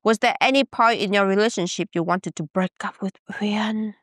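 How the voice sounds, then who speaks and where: A woman's voice: mid-range voice, normal speed, no echo, studio quality. Playful Vietnamese woman host. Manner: playful, teasing, lively. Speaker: podcast host. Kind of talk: casual chat.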